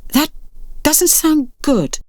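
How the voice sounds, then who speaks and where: A woman reads as a bright teenage boy in an adventure audiobook. He is earnest and questioning, with lively movement in the pitch. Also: slow, no echo, studio quality, heavily acted. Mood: puzzled. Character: earnest, clever teenage boy, the heroine's son.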